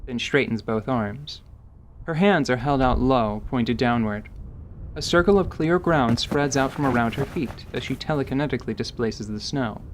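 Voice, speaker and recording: A man's voice: mid-range voice, moderate pace. Male narrator on a noisy, clicky recording. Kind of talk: scripted story narration.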